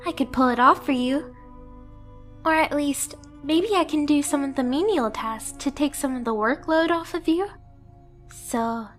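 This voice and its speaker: A woman's voice: high voice, a bit bright. A woman playing a timid, clumsy young catgirl pet who is eager to please, speaking in a meek, hesitant, apologetic way in an anime-style ASMR roleplay.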